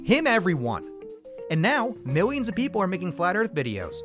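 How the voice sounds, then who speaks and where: A man playing an indignant, foul-mouthed flat-earth video maker in an audio drama, talking in emphatic, sweary rants. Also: sits high, a little fast. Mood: exasperated, defiant. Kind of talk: audio drama acting, conversational. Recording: music bed behind the voice.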